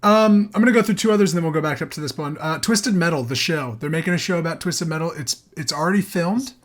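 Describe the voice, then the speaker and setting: A man's voice: a little high, fast talker. A man hosting a podcast in casual group chat, informal and given to swearing. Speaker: podcast host.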